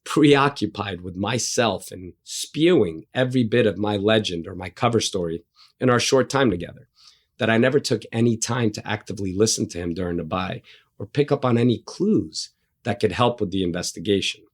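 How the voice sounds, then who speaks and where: A middle-aged man with a lowish voice tells his own stories as an interview guest. He is blunt and self-deprecating, and he swears casually. He was born in Egypt and raised in New Jersey. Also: expressive, normal speed, slightly rough. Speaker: interview guest, undercover agent. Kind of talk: candid interview storytelling.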